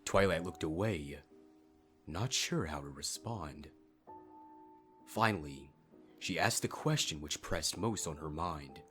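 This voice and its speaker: A man's voice: extremely low pitch, animated, takes it slowly, long pauses, a bit bright. A man reading a dramatic scripted story as a fan fiction narrator. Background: faint music.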